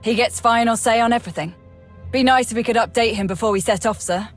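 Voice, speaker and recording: An actress playing a brash, wry military major in a video game, blunt and breezy. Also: female voice, on the high side, fast talker, faint music behind, natural voice. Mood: wry, assured.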